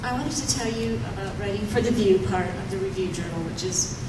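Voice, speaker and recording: A woman with a low voice, chatting through anecdotes to an audience in a room and laughing often as she talks. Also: brisk. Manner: chatty, laughs often while telling stories. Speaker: newspaper writer giving a talk. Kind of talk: casual anecdotal speech to an audience. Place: event room. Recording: echoey room, from a distance, noisy.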